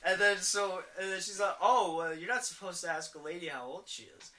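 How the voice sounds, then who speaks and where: A man's voice: high-pitched, fairly even intonation, brisk, sharp tone, recorded from a distance. A young man in his late teens, co-hosting a podcast and telling a rambling story while quoting people. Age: young adult. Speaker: podcast co-host. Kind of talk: casual chat, storytelling.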